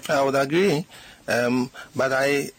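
A man's voice: medium pitch, takes it slowly, no echo. A man speaking as a panel guest on a radio talk show, hesitant and repeating words as he thinks aloud.

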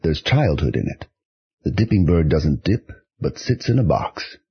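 A man presenting a scripted poetry podcast and reading verse in a measured, deliberate way. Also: extremely low pitch, sing-song, husky. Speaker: podcast host and poetry reader. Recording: slightly dull, music behind.

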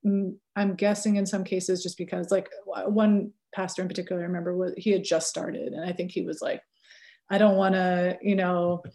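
A woman's voice: fairly low pitch, flat delivery, brisk, warm tone. A woman in her thirties, a researcher and teacher, talking casually in an interview, full of fillers and self-corrections with frequent laughs. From California.

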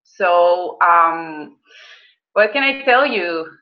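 A woman's voice: on the low side, animated, extremely slow. Earnest woman with a muffled sound. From Venezuela. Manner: earnest, hesitant with frequent ums and restarts. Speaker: guest, health coach and business owner. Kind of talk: conversational interview.

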